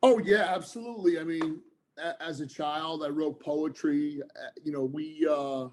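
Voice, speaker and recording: A man's voice: a little high, steady tone, moderate pace, a little mellow. Earnest man. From New Jersey, United States. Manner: earnest, rambling, frequent fillers. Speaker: author guest, former police officer. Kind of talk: casual interview chat.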